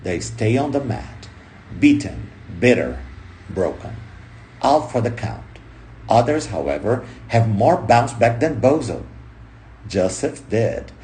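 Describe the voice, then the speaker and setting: A man reading a book aloud expressively, in punchy short phrases with dramatic pauses and emphasis. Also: medium pitch, sing-song, darkish tone, slight background noise, rough recording. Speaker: audiobook reader.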